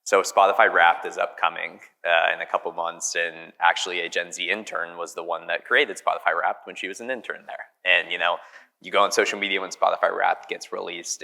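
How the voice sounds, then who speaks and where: Deep, gravelly young American man. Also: bright, forceful tone. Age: 20s. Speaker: conference panelist, company co-founder. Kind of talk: casual talk to a live audience. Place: indoor conference hall, on stage. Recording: reverberant conference hall.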